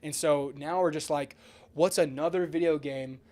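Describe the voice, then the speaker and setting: A man co-hosting a podcast in casual, excitable, slang-filled chat with some swearing. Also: a little high, normal speed. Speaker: podcast co-host.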